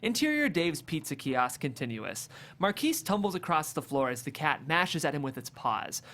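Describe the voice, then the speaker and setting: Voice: medium pitch, big pitch swings, moderate pace, fairly bright tone. A narrator reading comedic screenplay stage directions aloud at a table read in a vivid, amused way.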